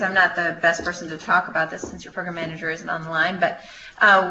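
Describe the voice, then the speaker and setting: Bright-voiced woman on a muffled call. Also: on the low side. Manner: hesitant, explanatory, thinking aloud. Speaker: Peace Corps staff member answering questions. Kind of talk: informal question-and-answer on a conference call. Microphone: conference call. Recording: muffled, noisy conference call line.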